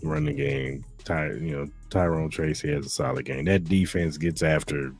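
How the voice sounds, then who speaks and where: A man's voice: extremely low pitch, steady tone, gravelly, a little mellow, slightly rough, soft background music. A man co-hosting a podcast, laying out game picks in short, punchy lines. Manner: brisk, punchy game-by-game predictions. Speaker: podcast co-host. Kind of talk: casual sports talk.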